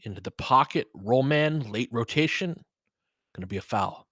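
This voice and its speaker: A man's voice: medium pitch, lively intonation, normal speed, fairly bright tone, dry recording. Man casually calling basketball, dry close sound. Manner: conversational, informal fan reactions. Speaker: host doing fan play-by-play commentary. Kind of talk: casual live basketball commentary, chatting with listeners.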